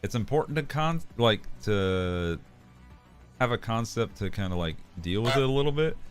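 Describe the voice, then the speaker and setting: A man's voice: fairly low pitch, expressive, moderate pace, soft background music. A man chatting casually on a livestream, joking loosely and laughing often. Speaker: streamer.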